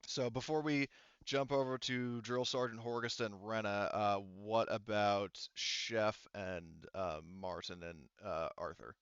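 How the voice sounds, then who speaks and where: A man casually running a tabletop roleplaying game as game master, often thinking out loud. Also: on the low side, steady tone, slow pace, smooth, dry recording, muffled recording.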